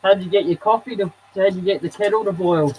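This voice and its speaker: A man's voice: sits high, a bit bright, rough recording. A man chatting casually, teasing the others and laughing often. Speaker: chat participant. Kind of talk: casual chat.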